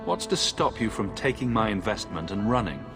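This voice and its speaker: A sceptical adventurer in a fantasy video game. His voice is fairly low, with a dry, guarded and questioning delivery over clear background music. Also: very animated, moderate pace. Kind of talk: video game dialogue acting.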